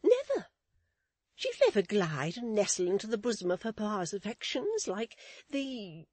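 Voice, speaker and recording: A woman's voice: mid-range voice, sing-song, moderate pace, darkish tone, no echo, pitched above the performer's own voice. A woman voicing a pompous, self-pitying matronly lady in a storybook reading, with emphatic, declamatory delivery and theatrical lamenting. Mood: confiding disdain. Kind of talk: dramatized Victorian novel reading.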